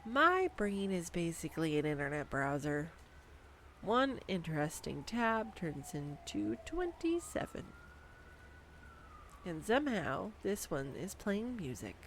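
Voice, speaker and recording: A woman voicing an excitable, nerdy young girlfriend who rambles enthusiastically with giggles in a scripted audio roleplay, recorded on a condenser microphone. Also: lowish voice, takes it slowly, slight background noise, heavily acted. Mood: tender. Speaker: voice actor. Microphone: USB condenser microphone.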